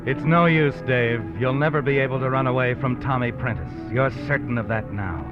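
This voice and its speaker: An actor playing a mysterious narrator who speaks straight to the hero in a 1950s radio drama, knowing and dramatic. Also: male voice, mid-range voice, fairly quick, natural voice. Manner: second-person, knowing, dramatic. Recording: muffled 1950s radio with music under it.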